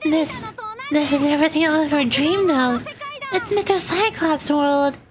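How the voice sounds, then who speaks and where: A woman voicing an excitable, innocent, wide-eyed little boy in a video game. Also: high-pitched, unhurried. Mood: excited. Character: excitable, innocent little boy who adores his brother. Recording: music behind the voice.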